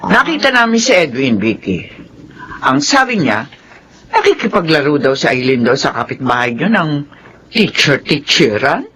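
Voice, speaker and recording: A man's voice: on the high side, sing-song, normal speed, bright, forceful tone, a little hiss or hum. A man playing a meddling, demanding grandparent in a Filipino radio drama. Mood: nagging.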